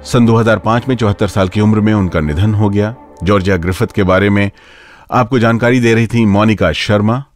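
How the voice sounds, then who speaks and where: A man's voice: on the low side, a little fast, faint music behind. A man hosting a radio show, presenting scripted material in a playful, engaging way. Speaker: radio host.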